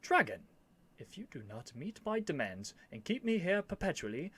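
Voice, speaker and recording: A man's voice: a little high, sing-song, normal speed, fairly bright tone, breathy edge, pitched above the performer's own voice, full character voice. A man playing a young royal prince in a scripted ASMR roleplay, earnest and lovestruck, speaking in formal, courtly phrases with haughty airs and flustered hesitations. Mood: flustered, defiant. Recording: faint background noise.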